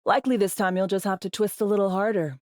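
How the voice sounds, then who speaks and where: A woman's voice: medium pitch, steady tone, fast, a little mellow, dry recording, studio quality, close to an everyday voice. A woman playing a brash, foul-mouthed, world-weary female spy in a video game, talking in clipped, slangy, sardonic lines. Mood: brisk, businesslike. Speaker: undercover field agent in a sci-fi game. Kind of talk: video game character dialogue.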